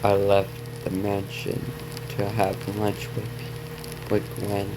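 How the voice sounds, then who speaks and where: A man's voice: on the low side, completely flat delivery, slow, background noise. A man reading a story aloud as a reaction video host, stumbling over and repeating words, with asides to viewers.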